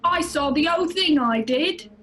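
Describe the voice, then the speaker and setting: Woman doing a high-pitched cheeky eyewitness. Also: lively intonation, moderate pace, a bit bright. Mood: eager, insistent. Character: chatty, cheeky female British eyewitness. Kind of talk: radio drama comedy acting. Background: music.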